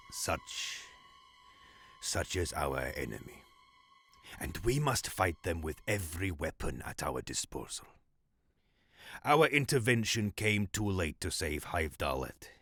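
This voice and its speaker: A man playing a grave, stern senior propaganda chief in an audio drama, speaking formally and deliberately with pauses. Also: sits low, lively intonation, a bit bright, slightly rough, full character voice. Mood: ominous, fervent. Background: music underneath.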